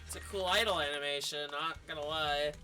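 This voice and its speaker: A man streaming a video game in a somewhat high voice. His commentary is casual, slangy and offhand. Game music plays clearly behind him. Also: brisk, sharp tone. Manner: offhand, slangy, mild swearing.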